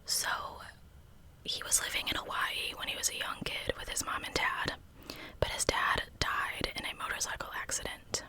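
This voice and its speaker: A woman telling true crime stories as ASMR in a breathy, unvoiced whisper with a conversational manner. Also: moderate pace, sharp tone. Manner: conversational narration.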